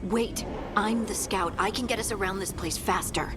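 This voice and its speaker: An actress playing a brave, stubborn scout in a video game, earnest and insistent. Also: female voice, medium pitch, normal speed, over music, close to an everyday voice. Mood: stubborn courage. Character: plucky female scout, adult, brave and stubborn. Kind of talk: video game drama acting.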